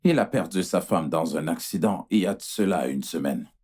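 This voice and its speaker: A man's voice: deep voice, fairly quick, a little mellow, slightly rough. A man playing an apologetic fertility doctor, formal, hesitant and placating, in dubbed film dialogue. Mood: grave, hesitant.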